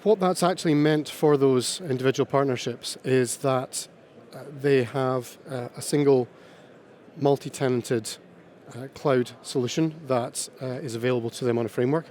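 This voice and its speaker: A man speaking at an awards panel, halting and full of ums and pauses. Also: medium pitch, steady tone, darkish tone. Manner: halting, many ums and uhs, slow pauses. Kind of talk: hesitant conference talk.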